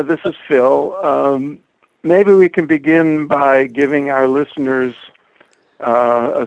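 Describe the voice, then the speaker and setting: Slow, gravelly male interviewer. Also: medium pitch, dry recording, dull audio. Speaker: podcast co-host, interviewer. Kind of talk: conversational interview questions.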